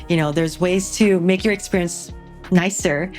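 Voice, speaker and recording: A woman hosting an interview in a slightly low voice. She affirms her guest often, with murmured agreement, and speaks encouragingly to her audience. Quiet music sits in the background. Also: steady tone, moderate pace. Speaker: host. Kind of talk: interview conversation.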